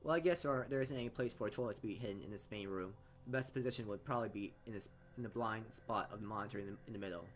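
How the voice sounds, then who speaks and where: A man's voice: on the low side, rapid-fire, few pauses, slight background noise. A man hosting a gaming video, reading a visual novel aloud with casual asides and often stumbling over or repeating words. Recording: music behind the voice. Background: game background music.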